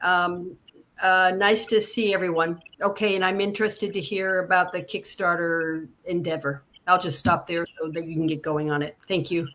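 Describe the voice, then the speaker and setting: A woman's voice: lowish voice, fairly even intonation, normal speed, a bit bright. A woman taking part in an online discussion, speaking casually in a brief, unassuming way. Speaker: discussion participant. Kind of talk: casual self-introduction.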